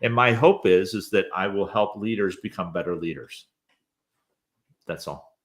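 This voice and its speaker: Man in his 50s, very low voice, easygoing, hesitant fillers, rambling interview talk. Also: a little slow. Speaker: podcast host, interview guest. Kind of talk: rambling conversational storytelling.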